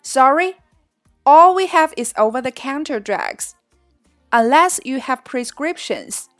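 A woman reading the lines of a helpful female pharmacist in a clear, careful textbook manner. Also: sits high, lively intonation, takes it slowly, no echo, studio quality, faint music behind, exaggerated voice.

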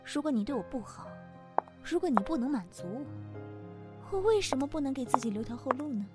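Woman acting alluring fickle young ex-lover, fairly high voice, audio drama, music clearly behind. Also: animated, brisk. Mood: cold defiant.

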